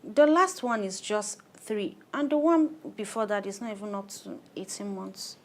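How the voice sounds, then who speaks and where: A woman's voice: mid-range voice, moderate pace, natural voice. Actress as a harried, determined Nigerian mother. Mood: frank, matter-of-fact. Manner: earnest, plain-spoken, insistent. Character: harried, determined thirty-year-old mother of five daughters, firm and frank. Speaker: clinic client in an educational radio play. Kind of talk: radio drama acting.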